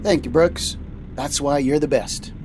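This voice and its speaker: Man as a higher-pitched, easygoing trucker captain. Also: measured pace, lightly acted. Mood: playful, easygoing. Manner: casual, playful. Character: boyish, easygoing trucker captain, playfully stubborn. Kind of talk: scripted comedy audio drama. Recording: faint vehicle noise behind.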